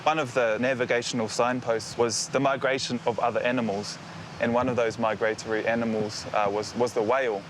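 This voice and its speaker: A man with a Māori New Zealand English accent tells history earnestly, in a middle-pitched voice. Small hesitations dot his telling, and faint outdoor noise is heard behind him. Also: little pitch movement, brisk. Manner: earnest storytelling, slight hesitations. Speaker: guest speaker sharing history.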